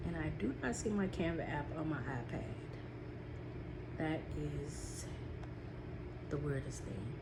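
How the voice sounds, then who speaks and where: Stuffy-nosed woman, low voice. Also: expressive, slow, pauses often, very noisy. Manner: chatty, with frequent "um" and repeats. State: stuffy, sniffling. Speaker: small business vlogger. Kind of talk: casual talk from notes.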